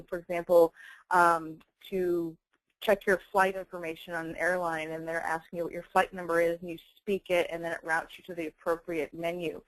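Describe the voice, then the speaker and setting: A woman's voice: lowish voice, no room sound, low-quality recording. A woman giving a company webinar presentation, explaining things in a conversational way.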